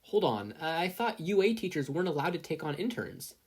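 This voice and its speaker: A performer voicing an excitable, earnest teenage boy superhero student in an audiobook style, eager and fretful. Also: fairly quick, slightly rough. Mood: eager, flustered. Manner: eager, fretful, high-strung. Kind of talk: audiobook-style character acting.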